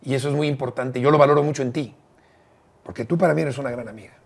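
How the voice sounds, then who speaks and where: A middle-aged Mexican man with a Mexican accent, speaking in a husky, slightly breathy voice at an ordinary mid pitch. He talks earnestly and reflectively, like a guest opening up in a friendly interview. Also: fast talker. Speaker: interview guest, radio broadcaster. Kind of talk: warm casual interview talk.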